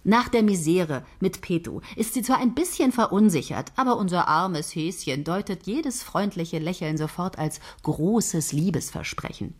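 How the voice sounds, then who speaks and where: An actress playing a confident head writer who steers her team in a brisk, patronizing and persuasive manner. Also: fairly low pitch, lively intonation. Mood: bossy. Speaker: head of a soap writing team. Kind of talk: radio play dialogue.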